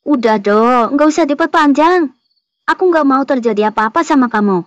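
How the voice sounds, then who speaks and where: A woman's voice: sits high, expressive, moderate pace, no room sound, highs cut off. A woman voicing a meek, apologetic young hotel waitress in a cartoon. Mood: subdued, pleading. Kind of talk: cartoon dialogue.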